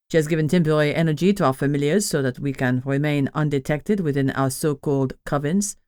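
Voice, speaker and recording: A woman voicing a pompous male witch leader who speaks with a thick French accent and a deep voice. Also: clean tone, no room sound, clean studio sound, natural voice. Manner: pontificating, sinister.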